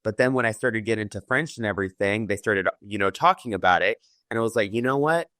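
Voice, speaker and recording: A young man from Southern California who is a community college student, speaking in an animated, conversational way. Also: fairly low pitch, quick pace, no echo, studio quality. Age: young adult.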